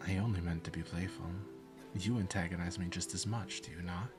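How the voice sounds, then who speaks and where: A man playing a regretful, pleading but proud man in an audio drama, with a soft, contrite delivery. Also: sits low, fairly quick, lightly acted. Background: music.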